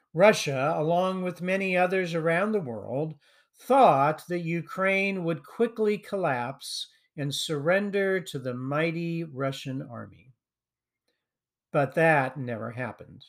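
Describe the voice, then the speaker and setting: Male podcast preacher, slightly high voice, slow and measured, reading a prepared sermon. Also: fairly bright tone.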